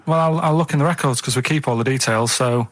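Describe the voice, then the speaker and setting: A man's voice: medium pitch, fast, fairly bright tone. A man chatting casually on radio, telling stories in a matter-of-fact, rambling way. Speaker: radio show co-host. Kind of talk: casual radio banter and anecdotes. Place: radio studio.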